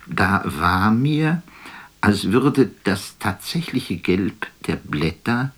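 An actor playing a wistful, weary poet, musing lyrically in a measured radio drama monologue. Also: male voice, on the low side, sing-song, breathy edge, heavily acted. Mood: dreamy reflection. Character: wistful, weary male poet imagining a phone call.

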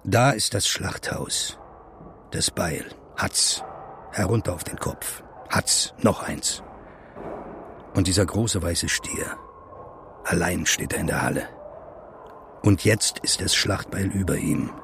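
A man's voice: low voice, slow pace, creaky, long pauses, a bit bright, slight background noise, exaggerated voice. A man acting as a detached radio play narrator, delivering stark, emphatic literary phrases. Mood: stark, observing.

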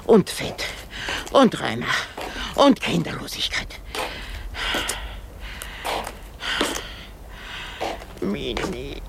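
A woman's voice: a bass voice, very animated, slow pace, creaky, background noise, heavily acted. An actress playing an old, self-pitying, hysterical married woman in a radio drama, rambling theatrically with sighs and exclamations. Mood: lamenting, self-pitying. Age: older.

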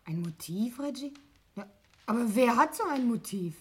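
An actress playing an anxious, loyal stepdaughter who protests earnestly, in a German 1960s radio play. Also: female voice, on the high side, expressive, moderate pace, a little mellow, close to an everyday voice. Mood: protesting, alarmed. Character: anxious, loyal stepdaughter defending her household. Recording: noisy vintage radio recording.

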